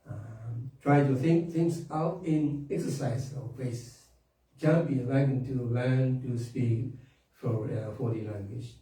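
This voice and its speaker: A man delivers a sermon in a slow, halting way. His voice is very dark and mellow. He is picked up from a distance in a strongly echoing room. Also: medium pitch. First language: Japanese. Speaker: minister. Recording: echoey room from a distant mic.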